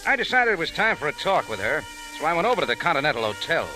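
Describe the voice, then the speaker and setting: Hard-boiled American adventurer, very animated. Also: male voice, fairly high pitch, racing, music in the background, rough recording. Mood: narrating. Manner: wry, terse, hard-boiled delivery. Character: tough, cool American adventurer and narrator in Cairo. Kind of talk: 1940s hard-boiled radio acting.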